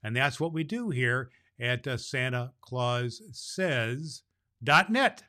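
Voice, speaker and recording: A man hosting as Santa Claus, reading a children's storybook aloud in a gentle, jolly way and sometimes stumbling over words. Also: mid-range voice, expressive, takes it slowly.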